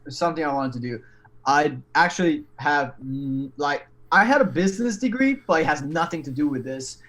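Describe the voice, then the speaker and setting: Man, thirties, podcast guest, somewhat high voice, candid, animated, frequent restarts. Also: a little fast. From Taiwan. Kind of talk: casual storytelling interview.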